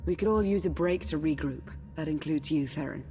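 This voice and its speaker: An actress playing a grave, cautious adviser in a video game, with formally worded speech. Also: female voice, sits low, brisk, mellow, soft background music, natural voice. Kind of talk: video game cutscene acting.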